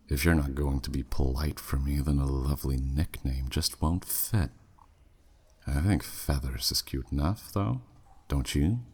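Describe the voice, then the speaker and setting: Man playing a very deep, husky, flirty demon. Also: full character voice. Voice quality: very deep, husky, breathy at phrase ends. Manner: slow, teasing, smug. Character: flirty, menacing male demon bidder taunting a captive. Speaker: audio roleplay performer. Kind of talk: scripted ASMR roleplay drama.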